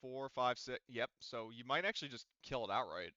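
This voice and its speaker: A man's voice: medium pitch, brisk, pushed and bright, no echo, muffled recording. A man acting as game master, explaining rules in casual tabletop roleplaying talk.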